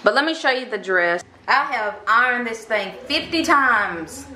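A woman's voice: fairly high pitch, animated, unhurried, sharp tone, rough recording. A woman in her thirties with an American accent, chatting casually and informally to the camera as a vlogger. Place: parked car and rooms at home. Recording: some background noise.

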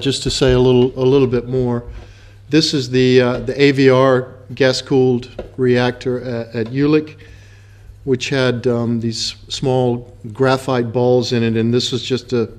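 An older American man lectures in a conference room, his voice held level with little rise and fall. He speaks into a table mic in short, halting phrases, stopping often to restart a thought. The pitch sits in the middle of the range. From United States. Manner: halting, with frequent restarts and fillers. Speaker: guest speaker, activist. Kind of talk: lecture in English with consecutive interpretation. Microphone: table microphone.

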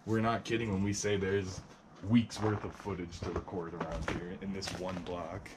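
A young man with a fairly low voice talks casually as he explores a large abandoned building, turning often to address viewers. The audio comes from a body-worn camera and carries clear background noise. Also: moderate pace. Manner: chatty, addresses viewers directly, excited pointing. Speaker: urban explorer host.

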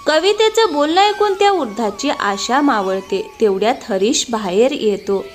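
Female storyteller, scripted narration, slightly high voice, music bed underneath. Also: animated, moderate pace. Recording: music behind the voice.

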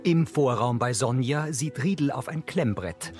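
A man's voice: mid-range voice, animated, a little slow, over music. A man giving neutral, factual scripted scene narration in the present tense for a crime series.

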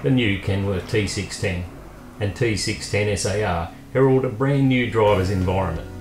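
A man's voice: fairly low pitch, normal speed. A man reading a scripted voice-over as the narrator of a vehicle review. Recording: roomy voice-over with music. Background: guitar music.

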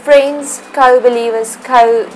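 A woman's voice: on the high side, steady tone, a little slow, a little mellow, soft background music, poor audio. Earnest Afrikaans-accented woman blessing listeners. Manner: earnest, blessing-giving, careful phrasing with small slips. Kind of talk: read devotional voice-over.

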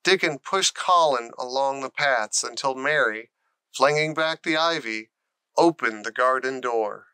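A man narrating a children's storybook in a deliberate, expressive way. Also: medium pitch, clear, resonant tone, no echo, low-quality recording. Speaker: audiobook narrator.

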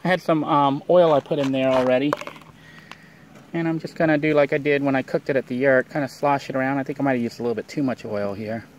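A man's voice: mid-range voice, quick pace, a little hiss or hum. A man vlogging outdoors beside a van, talking casually through his cooking with lots of fillers. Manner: relaxed, rambling with many fillers. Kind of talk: casual how-to narration while cooking.

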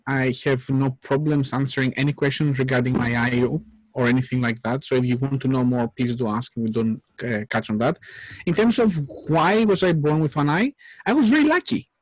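A man's voice: medium pitch, no room sound, low-quality recording. A man in his forties from Greece, speaking English, earnestly explaining at length as an interview guest over a headset. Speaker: guest, software company CTO. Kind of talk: casual interview talk. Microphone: headset on a video call.

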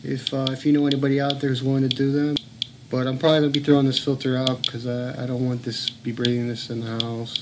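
A man's voice: mid-range voice, flat delivery, fast talker. Blunt, swearing man on a noisy home recording. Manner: earnest, blunt, swears, speaks up insistently. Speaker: home video blogger. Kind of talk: casual filmed commentary. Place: home room.

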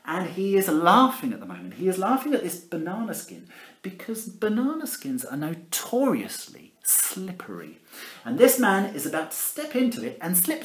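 Very animated Englishman reading a storybook. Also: high-pitched. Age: middle-aged. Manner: theatrical, exaggerated emphasis, conversational asides. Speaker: primary school teacher reading a storybook. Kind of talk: animated picture-book reading with asides to children. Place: living room at home, indoors. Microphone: camera at about arm's length. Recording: echoey room.

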